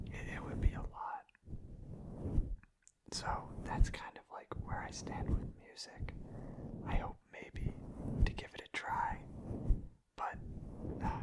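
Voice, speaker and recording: A man talks entirely in a soft, breathy whisper, as an ASMR creator would. He rambles in a casual, meandering way, feeling his way through each thought with hesitant phrasing. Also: poor audio.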